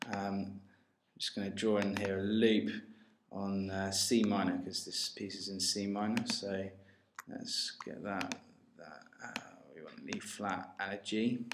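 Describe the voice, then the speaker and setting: A man giving an informal, hesitant step-by-step software tutorial as a music technology tutor. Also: lowish voice. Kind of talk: screencast tutorial narration. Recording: roomy, faint noise with mouse clicks.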